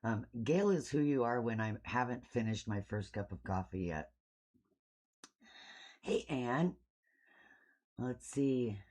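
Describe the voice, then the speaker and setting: A woman's voice: rumbling low, lively intonation, takes it slowly, long pauses, darkish tone. A woman hosting a casual livestream, a bit sniffly from allergies, rambling informally with self-deprecating asides. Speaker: livestream host, art hobbyist.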